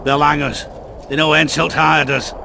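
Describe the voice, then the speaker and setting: An actor playing an edgy mercenary soldier in a video game, tense and forceful with a gravelly voice. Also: male voice, on the high side, level tone, normal speed, background noise. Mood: anxious. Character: edgy rank-and-file male mercenary soldier. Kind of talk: video game character acting.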